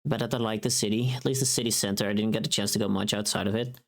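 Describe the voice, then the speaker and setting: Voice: mid-range voice, steady tone, fast, vocal fry. A livestreaming VTuber with a mid-range voice, talking casually to chat. The delivery is loose and rambling, teasing and informal, with a bit of mild swearing.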